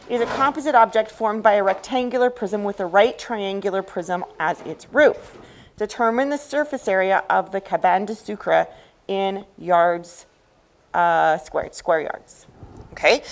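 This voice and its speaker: A woman teaching a math class, explaining step by step and often checking understanding with questions. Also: a little high.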